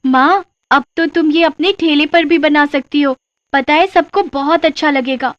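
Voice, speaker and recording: A woman's voice: sits high, normal speed, no echo, highs cut off, voice pushed up, close to an everyday voice. A woman voicing a sweet, encouraging child in a cartoon story. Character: sweet, sensible child praising mother's cooking. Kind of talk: Hindi moral-story cartoon voice acting.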